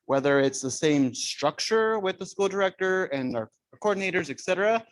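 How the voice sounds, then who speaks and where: A man's voice: sits high, lively intonation, moderate pace, no room sound. A man, a school administrator, giving staff updates at a parent meeting and switching between English and Spanish. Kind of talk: bilingual staff announcement.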